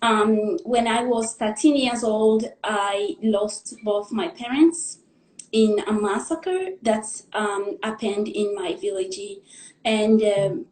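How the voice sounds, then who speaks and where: A young woman from the Democratic Republic of the Congo tells her life story as a guest in a live interview, in an earnest, halting way, pausing to gather her thoughts. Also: mid-range voice, darkish tone, reverberant, low-quality recording. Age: young adult.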